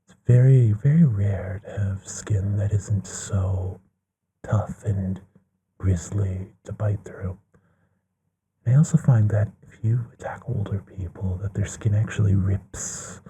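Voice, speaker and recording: A man playing a courtly, bookish, gently affectionate vampire in an intimate ASMR bedtime roleplay, musing in a breathy hush that often slips into a whisper. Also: fairly low pitch, fairly even intonation, full character voice. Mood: fond, musing. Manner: musing, genteel, unhurried with pauses.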